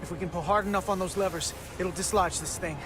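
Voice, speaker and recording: A man's voice: high-pitched, fairly even intonation, fast, lightly acted. A man playing an urgent starship engineering crewman in a science fiction video game. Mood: urgent, strained. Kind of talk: video game dramatic acting. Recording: music behind the voice.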